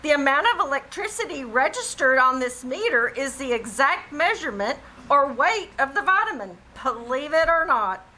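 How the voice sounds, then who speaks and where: A woman's voice: high-pitched, lively intonation, moderate pace, few pauses, sharp tone. A woman playing an earnest, folksy family druggist and announcer in scripted 1940s radio style, with emphatic, careful salesmanship. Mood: earnest, assured. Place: theater stage in a live performance.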